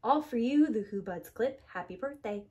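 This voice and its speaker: A woman's voice: a little high, expressive, moderate pace, darkish tone, lo-fi sound. A woman reading a rhyming children's story aloud in an emphatic, theatrical preschool-teacher manner. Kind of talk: expressive children's storybook read-aloud in rhyme.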